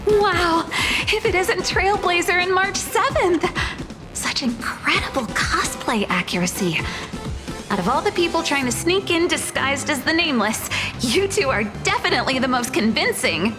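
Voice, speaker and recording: Excitable, very high-pitched young attendant. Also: female voice, moderate pace, music in the background. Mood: amazed. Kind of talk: video game voice acting.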